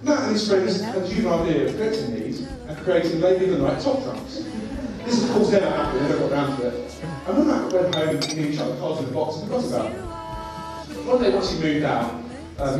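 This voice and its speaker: A young man giving a best man's speech, telling funny stories and laughing at his own lines. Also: fairly high pitch. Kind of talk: humorous wedding speech. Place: large banquet hall. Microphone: handheld mic, filmed from afar. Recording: echoey hall from far off, music behind.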